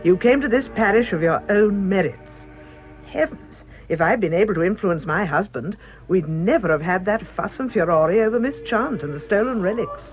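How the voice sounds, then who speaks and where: An actress playing a genteel, self-assured middle-aged canon's wife in a radio drama, polite and brisk. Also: female voice, medium pitch, lively intonation, close to an everyday voice. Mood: genteel, confiding. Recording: dull radio recording, music behind.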